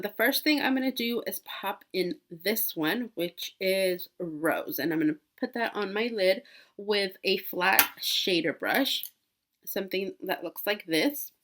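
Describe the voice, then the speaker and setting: A woman's voice: medium pitch, a bit bright. A woman in her twenties, sick with a cold, chatting casually through a makeup tutorial with many fillers and restarts. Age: 20s. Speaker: beauty vlogger.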